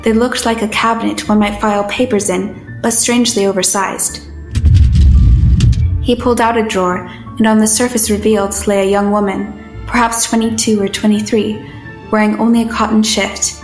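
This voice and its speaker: A woman acting as an observant, uneasy but determined heroine who narrates an audio drama in a measured, literary manner. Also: medium pitch, steady tone, echoey room, soft background music, close to an everyday voice. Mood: measured narration. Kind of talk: first-person scripted narration in an audio drama.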